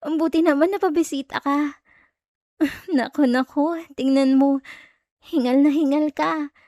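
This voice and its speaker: A woman's voice: high voice, fairly even intonation, a little slow, warm tone, dry recording, clean studio sound. A woman with a Filipino accent playing an obsessive, possessive stalker in an intimate ASMR roleplay, coy, teasing and sweetly menacing. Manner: coy, teasing, giggly, lingering over words.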